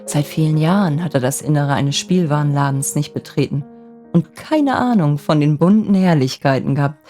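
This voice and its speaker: Low, gentle woman storyteller. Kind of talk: scripted bedtime fairy tale narration. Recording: music bed under the voice.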